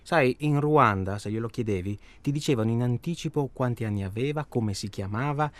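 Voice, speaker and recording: An actor playing a self-absorbed, stalling hunter in a naturalistic radio drama, rambling and irritable. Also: male voice, mid-range voice, brisk, no echo, close to an everyday voice. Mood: hesitant, self-justifying. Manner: hesitant, rambling, irritable, colloquial. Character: self-absorbed, stalling male tourist hunting human prey.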